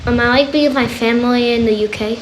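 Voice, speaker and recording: A woman's voice: a little high, steady tone, quick pace, a little hiss or hum, low-quality recording. A woman speaking sincerely in an interview. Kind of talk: personal interview testimony.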